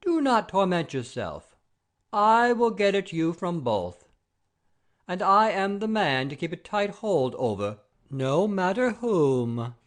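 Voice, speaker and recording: A man's voice: high voice, big pitch swings, takes it slowly, full character voice. An actor playing a proud, blustering baron and father-in-law in a theatrical comedy audio play, pompous and declamatory. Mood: stern, grave. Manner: pompous, oath-swearing, declamatory.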